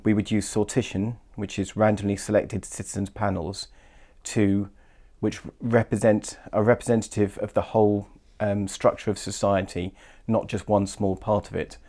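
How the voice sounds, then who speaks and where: Guy at a hustings, monotone mellow voice, hesitant, explaining his policy. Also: on the low side. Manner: hesitant, halting. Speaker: parliamentary candidate.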